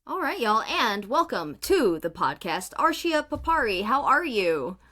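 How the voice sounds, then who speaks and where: Bright-voiced woman podcast host. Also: fairly high pitch, big pitch swings, unhurried. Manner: chatty, lots of fillers and "like". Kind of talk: casual interview chat.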